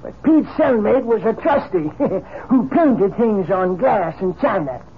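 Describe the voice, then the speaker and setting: An actor playing a folksy, dogged old ex-outlaw in a 1950s radio western, with a creaky old-timer's voice. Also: male voice, high voice, expressive, slow pace, heavily acted. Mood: storytelling. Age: older. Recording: dull, noisy 1950s radio recording.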